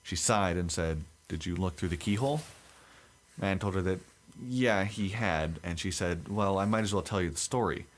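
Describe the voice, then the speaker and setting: A young man with a deep radio-like voice, co-hosting a casual podcast and reading a creepy story aloud. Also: moderate pace, a little hiss or hum. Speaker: podcast co-host.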